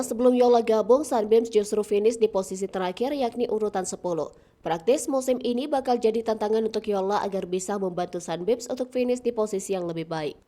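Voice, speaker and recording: A woman reading a scripted sports news report in an even, read-aloud manner, her voice dropping at the ends of sentences. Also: mid-range voice. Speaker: news narrator.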